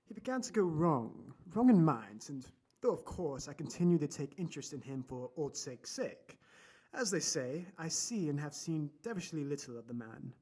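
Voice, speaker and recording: A hearty older gentleman doctor acted by a man for an audio drama. His husky voice is very lively in pitch, and he speaks with emphatic, opinionated dismissiveness. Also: moderate pace. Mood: indignant.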